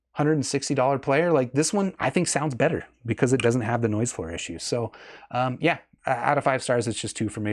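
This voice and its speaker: A man's voice: mid-range voice, a little mellow. Casual, candid male gear reviewer. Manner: conversational, candid, thinking aloud. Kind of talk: casual product review talk.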